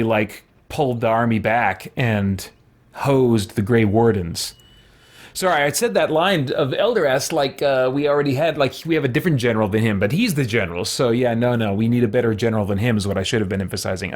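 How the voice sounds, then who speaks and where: A man's voice: mid-range voice, brisk. A man hosting a let's play, giving informal gaming commentary as he thinks aloud.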